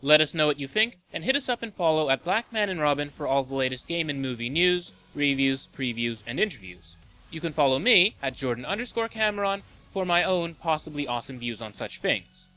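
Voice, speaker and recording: A man's voice: fairly high pitch, animated, brisk, sharp tone. A man hosting a video game and movie show, reading scripted list narration with a wry, tongue-in-cheek manner.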